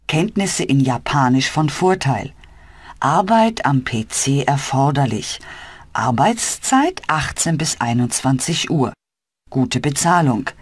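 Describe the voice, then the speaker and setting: A woman voicing a friendly German woman who chats with her flatmate in a scripted language-course dialogue. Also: low-pitched, dry recording, full character voice. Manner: slow, clear and lively, with cheerful rises.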